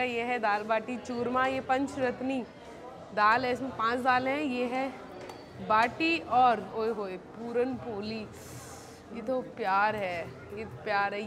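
A woman in her twenties giving an excited walkthrough of a buffet on a lapel mic in a busy indoor restaurant. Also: sits high. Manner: excited, exclaiming over dishes. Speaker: food vlogger. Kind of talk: walkthrough food vlog. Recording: crowded restaurant background. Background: restaurant crowd chatter.

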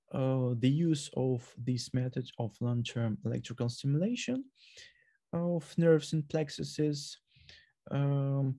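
A man presents surgical results over a video call. He speaks haltingly, with many fillers and restarts. His voice is dark and mellow, at a middle pitch. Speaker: surgeon presenting at a webinar. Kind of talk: academic presentation.

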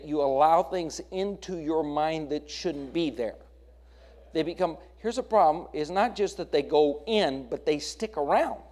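Higher-voiced American man preaching. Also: darkish tone. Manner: exhorting, repeats phrases, builds emphatic lists. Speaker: Baptist pastor preaching to his congregation. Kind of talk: sermon with questions put to the congregation.

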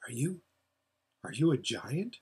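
A man's voice: medium pitch, sing-song, a little slow, husky, heavily acted. A man voicing a frightened orphan boy in a storybook, anxious and pleading in his questions. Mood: wary.

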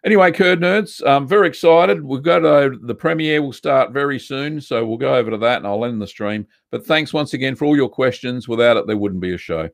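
An older man with an Australian accent, from South Australia, hosting a casual live question-and-answer stream from a home studio, chatty and relaxed with plenty of ums and uhs. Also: medium pitch, fairly quick, vocal fry, few pauses. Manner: relaxed, chatty, many ums and uhs, reads chat aloud. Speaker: livestream host answering viewer questions. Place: home studio room with bookshelves, indoors.

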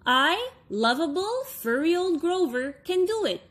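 A woman's voice: extremely high pitch, big pitch swings, measured pace, fairly bright tone, voice pushed up, heavily acted. A woman voicing a lovable, overconfident male furry monster from a storybook, with cheerful, emphatic exclamations. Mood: proud, eager.

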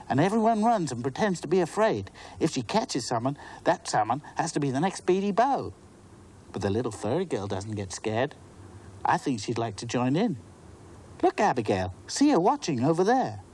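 A man voicing a timid four-year-old girl in a high, pleading voice. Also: very animated, moderate pace, a little mellow, breathy edge, poor audio. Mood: eager explaining.

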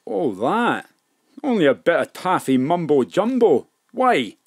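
Cocky criminal safecracker, wary, rough slang, animated pitch, close dry sound, audio drama. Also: unhurried, dry recording, full character voice. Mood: evasive. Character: wary ageing exiled safecracker gangster, cocky and shifty.

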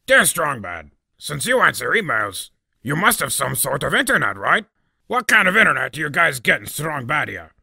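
A cocky cartoon wrestler with a short temper. The voice is very gravelly and hard-edged, blustering and sarcastic, with pitch that swings widely. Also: male voice, very animated, moderate pace, bright, forceful tone, no echo, heavily acted. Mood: mocking. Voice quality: gravelly, raspy. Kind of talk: cartoon fandub acting.

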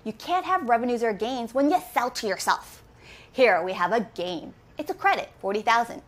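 A woman in her thirties teaching accounting, walking through each step and asking quick questions as she goes. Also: on the high side, lively intonation. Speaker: accounting instructor. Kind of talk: recorded lesson walkthrough. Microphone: camera framed head and shoulders.

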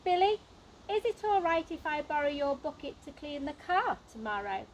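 A woman's voice: high-pitched, sing-song, moderate pace, slight background noise, pitched above the performer's own voice. A woman voicing an indulgent, teasing father in a children's storybook, coaxing and humouring his child.

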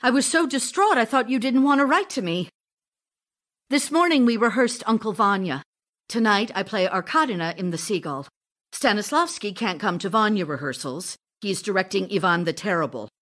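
A woman's voice: medium pitch, lively intonation, normal speed, a bit bright. A woman playing an ardent, sentimental stage actress in an audio drama, earnest and wistful as she recalls her romance. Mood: longing, tender. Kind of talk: theatrical audio drama, narrated memories and love letters.

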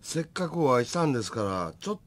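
A man's voice: medium pitch, sing-song, fast, close to an everyday voice. A man playing an affable repair company boss with a Kansai accent in a comic radio drama.

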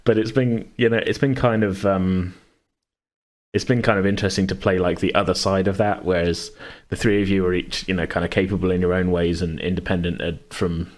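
A man's voice: deep voice, flat delivery, fairly quick. A man chatting casually as a game master, in a rambling, hedging way. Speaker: game master and podcast host. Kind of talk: casual chat about a tabletop game.

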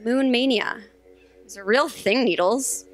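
A woman's voice: a little high, a little slow, faint music behind, close to an everyday voice. A woman playing a wisecracking self-aware spaceship machine, dramatic and teasing in a staged sci-fi comedy drama. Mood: dry teasing. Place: theatre stage, live performance. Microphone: stand microphone.

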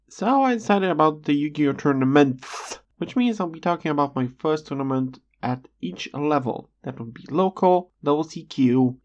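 A video creator narrating a scripted personal story in a measured, slightly halting way with dry sarcasm, on a dull lo-fi recording. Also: medium pitch.

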